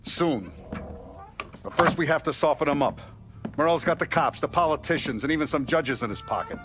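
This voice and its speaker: An actor playing a calculating crime family boss, calm and commanding, in a game cutscene. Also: male voice, a little high, brisk, lightly acted. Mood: scheming. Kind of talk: video game cutscene acting. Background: background music.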